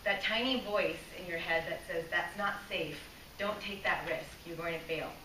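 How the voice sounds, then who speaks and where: A woman's voice: fairly low pitch, fairly even intonation, normal speed. A woman giving a prepared speech to a live audience, earnest and direct. She asks the room questions and sometimes loses her place and starts over. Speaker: club speaker. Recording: echoey room from a distance, faint hiss.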